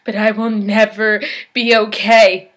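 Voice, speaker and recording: A woman performing a dramatic monologue as a despairing young woman, speaking in a halting, emotional confession. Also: a little high, fairly even intonation. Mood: hopeless, resigned. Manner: halting, emotional, swinging from hushed to anguished. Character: despairing suicidal young woman baring her pain in confession.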